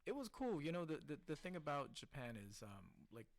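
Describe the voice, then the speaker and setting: A man from the Philippines, a podcast guest, telling stories from his life in a lively, casual way and often checking in with "right?". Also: on the high side, brisk. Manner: animated storyteller, keeps checking in with "right?". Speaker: podcast guest, casino host. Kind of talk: casual storytelling interview.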